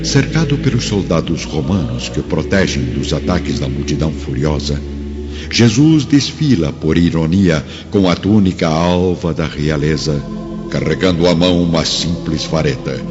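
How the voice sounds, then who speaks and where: A Brazilian man narrates a radio drama with solemn, dramatic delivery. His voice is very low, slightly muffled by a dull recording, with music clearly playing underneath. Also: expressive, moderate pace, long reverb tail.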